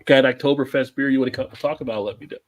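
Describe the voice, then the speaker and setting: A man's voice: mid-range voice, rapid-fire. A man hosting a casual beer tasting livestream, reading out viewer comments and laughing often. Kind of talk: casual chat.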